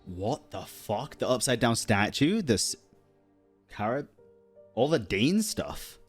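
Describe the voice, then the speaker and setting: A man's voice: mid-range voice, big pitch swings, slow, soft background music. A man streaming a game, reacting casually with blunt, sweary, offhand quips. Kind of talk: casual live gameplay reaction.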